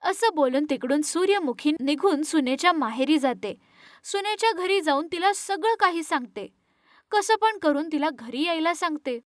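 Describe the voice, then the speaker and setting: A woman narrating an animated moral story in a scripted storytelling style. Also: high-pitched, moderate pace, dry recording, clean studio sound.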